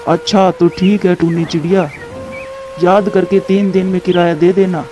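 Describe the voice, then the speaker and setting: A man's voice: sits high, little pitch movement, moderate pace, over music, lightly acted. A man voicing a stern, stingy male crow landlord in a cartoon, talking in a demanding manner. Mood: firm, bossy. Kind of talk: Hindi cartoon dialogue.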